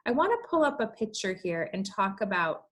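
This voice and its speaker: A woman teacher reading aloud and explaining things to young children, with expressive, deliberate emphasis. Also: medium pitch. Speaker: elementary school teacher.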